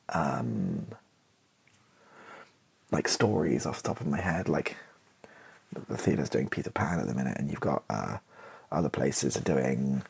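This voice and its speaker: A British man doing casual, improvised ASMR, thinking aloud in hesitant fragments with a soft, breathy, partly whispered voice. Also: a bass voice, slight background noise. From Britain. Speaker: ASMR video creator thinking aloud while writing.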